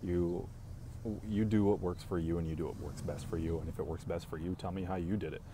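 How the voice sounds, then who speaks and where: A young man hosting a casual live stream, talking in a calm, even monotone, slow and reassuring toward his viewers. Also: sits low, noisy background. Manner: speaks slowly and reassuringly to viewers.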